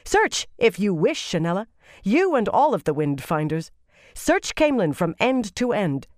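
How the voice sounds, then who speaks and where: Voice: medium pitch, sing-song, a little slow, no echo, clean studio sound, voice pushed up. A narrator voicing a hot-tempered noblewoman with a heated, formal, commanding manner in a fantasy audiobook. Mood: hot anger.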